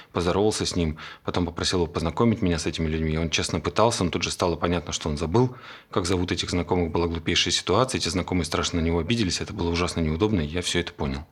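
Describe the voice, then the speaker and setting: A man's voice: sits low, breathy edge. An actor playing a man who earnestly explains at length that he is a robot. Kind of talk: stage play acting.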